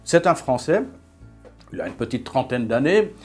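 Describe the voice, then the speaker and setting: A man's voice: mid-range voice, expressive, moderate pace, darkish tone, faint music behind. A man, talking casually to camera in a vlog, hesitant, with many fillers and restarts. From Belgium. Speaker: vlogger.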